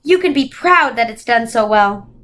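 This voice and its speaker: A gentle, comforting old lady from a children's storybook, played by a woman reader. Her voice is high and motherly, and she chats along reassuringly like a fond elder. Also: animated, moderate pace. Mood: encouraging. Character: kindly, comforting, chatty old celestial woman.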